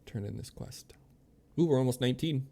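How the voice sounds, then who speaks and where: A man's voice: mid-range voice, brisk, creaky, dark tone. A man streaming a video game, chatting casually with viewers and commenting as he plays in an easygoing way. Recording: game music behind the voice. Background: video game music.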